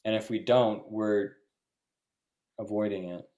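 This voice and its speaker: Man, moderator, lowish pitch, reflective interview style with halting phrasing. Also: fairly even intonation, mellow, dull audio. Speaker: discussion moderator. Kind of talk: thoughtful post-show interview.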